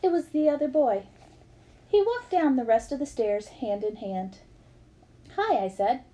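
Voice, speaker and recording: A woman's voice: high-pitched, animated, measured pace, mellow, rough recording. A woman reading a memoir aloud as scripted storybook narration. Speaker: reader of a book aloud.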